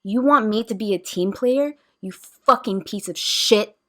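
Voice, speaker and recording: A woman's voice: mid-range voice, measured pace, fairly bright tone, close to an everyday voice. A woman playing a sarcastic, blunt young woman with simmering resentment in an audio drama. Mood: bitterly angry. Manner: snarky, dismissive. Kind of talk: amateur audio drama acting.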